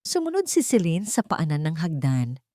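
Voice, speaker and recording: Woman with a deep voice reading a dramatic love story, really dry close mic. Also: huge pitch swings, moderate pace, warm tone, dry recording. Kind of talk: dramatic scripted narration of a romantic drama.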